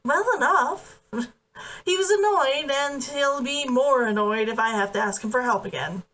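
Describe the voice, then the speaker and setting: A woman's voice: high voice, a little slow, a bit bright. A woman reading the part of a proud, defiant and playful young woman in a novel, in an emphatic, teasing manner. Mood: wry, playful. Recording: distant from the mic.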